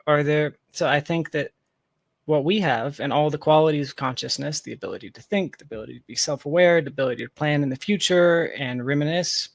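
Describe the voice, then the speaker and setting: A man's voice: fairly high pitch, fairly quick, gravelly, no echo. A man in a casual, reflective conversation, thinking aloud in an informal, philosophical way. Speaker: podcast guest, tech founder.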